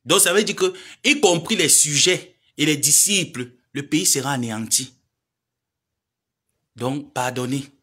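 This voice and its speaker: A man's voice: fairly high pitch, unhurried, bright, forceful tone, husky. A man, lecturing and pleading in a social media live monologue. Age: 30s. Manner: preachy, lecturing, pleading then scolding.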